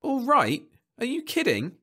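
A man's voice: fairly high pitch, expressive, moderate pace, voice pushed up, close to an everyday voice. A man voicing a meek, hapless, henpecked husband with a plaintive, hesitant manner in a science fiction story. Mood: moaning, incredulous.

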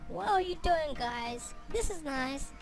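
Squeaky filtered cartoon character. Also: male voice, lively intonation, takes it slowly, vocal fry, distant mic, over music, full character voice. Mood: chirpy. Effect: pitched-up voice changer filter. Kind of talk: demo voice message.

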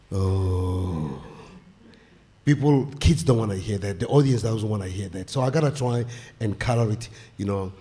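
An older man, a guest author on a stage panel, speaking haltingly with many fillers into a stand mic. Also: lowish voice, expressive, dark tone. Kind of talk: panel discussion. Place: stage of a small indoor venue.

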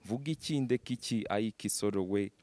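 Fast-talking young Ugandan man, low voice. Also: a little mellow. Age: young adult. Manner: fast, mixes slang and English, raps. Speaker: guest rapper. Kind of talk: casual interview with rap verses. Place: outdoor terrace. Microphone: handheld mic.

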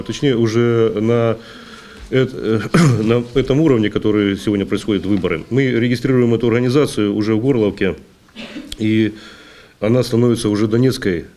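A man's voice: lowish voice, mellow. A man in his forties formally reading out procedural items as chairman, with hesitations. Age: 40s. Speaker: meeting chairman. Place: meeting hall, seated at a table.

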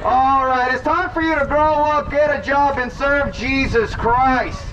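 A man preaching loudly outdoors to a street crowd, condemning and repetitive in manner. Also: very high-pitched, unhurried. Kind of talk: open-air preaching to passing crowds. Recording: distant, muffled outdoor recording with street noise.

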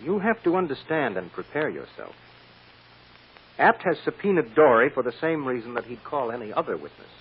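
An actor playing a firm, shrewd defense lawyer with a blunt, emphatic manner in an old-time radio drama. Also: male voice, mid-range voice, very animated, brisk. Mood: grave. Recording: muffled, hissy old radio recording.

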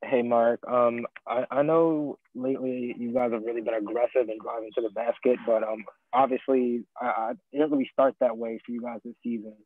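A man's voice: medium pitch, level tone, a little fast. A man, a sports reporter, asking a hesitant, filler-heavy question at a press conference over a phone line. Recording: tinny dry phone line.

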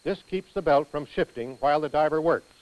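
An American man reading scripted instructional narration for a training film in a precise, measured manner. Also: fairly high pitch, darkish tone, low-quality recording.

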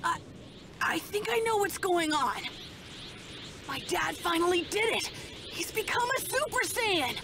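Casting: woman as earnest little boy hero, anime game; very high, animated, breathless. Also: unhurried, pauses often, background noise, heavily acted. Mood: awed. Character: earnest, anxious young half-alien boy hero. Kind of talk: English anime game voice acting. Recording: loud music and effects behind.

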